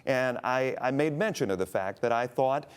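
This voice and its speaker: A male TV host with a lively, rising and falling pitch. He asks his questions conversationally, often restarting mid-sentence. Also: normal speed, clean tone. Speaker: TV interview host. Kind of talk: TV interview questions.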